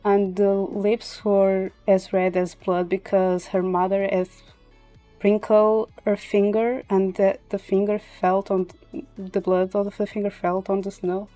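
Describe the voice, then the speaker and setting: A woman's voice: mid-range voice, flat delivery, unhurried, mellow, no room sound, faint music behind. A woman whose first language is Portuguese, chatting casually on a livestream and sometimes searching for English words. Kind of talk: casual chat. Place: indoor livestream with music behind.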